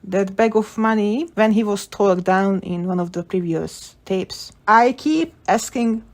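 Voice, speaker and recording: A woman's voice: mid-range voice, measured pace. A woman video creator giving casual, opinionated commentary, thinking through her theories as she goes. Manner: speculative, opinionated. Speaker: video creator analysing game story.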